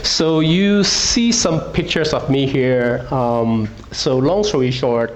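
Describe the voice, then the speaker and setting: A man's voice: mid-range voice, lively intonation, slow, slight background noise, rough recording. Middle-aged man giving an interactive school talk. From Hong Kong. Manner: interactive, asks audience questions, frequent okays. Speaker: guest speaker. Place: school auditorium.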